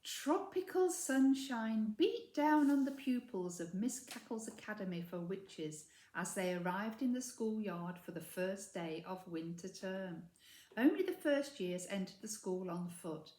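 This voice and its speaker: A woman reading a children's story aloud with measured, expressive emphasis, recorded in an echoey room. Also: mid-range voice. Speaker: story reader.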